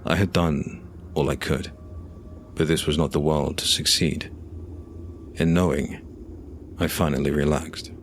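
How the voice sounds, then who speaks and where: A man's voice: very deep voice, fairly even intonation, unhurried, pauses often, a little hiss or hum. A man giving dramatic, scripted first-person narration for an audio fiction story.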